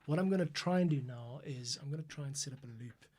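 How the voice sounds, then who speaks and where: A man's voice: a little high, fast, a little mellow. A man thinking aloud in a casual, explanatory way as he narrates a behind-the-scenes audio editing screencast. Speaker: composer and podcast editor. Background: music playback from the editing session.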